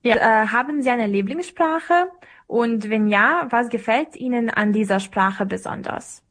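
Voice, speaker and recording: A woman's voice: fairly high pitch, unhurried, a bit bright. A young woman working as an interviewer, putting prepared questions to a guest.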